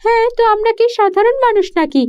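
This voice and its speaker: A woman's voice: very high-pitched, quick pace, darkish tone, voice pushed up. A woman voicing a bold young cartoon princess. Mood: determined. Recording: dull lo-fi audio.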